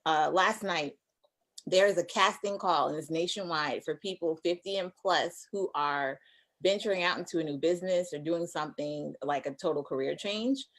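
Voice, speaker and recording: A woman in her thirties hosting a casual chat, talkative and teasing, with quick side notes. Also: lowish voice, a bit bright. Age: 30s. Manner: chatty, teasing, quick asides. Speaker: host.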